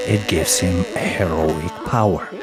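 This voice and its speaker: A man narrating a folk epic as scripted storytelling, in a measured, solemn manner. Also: deep voice. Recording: voice-over with loud music behind. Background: loud music.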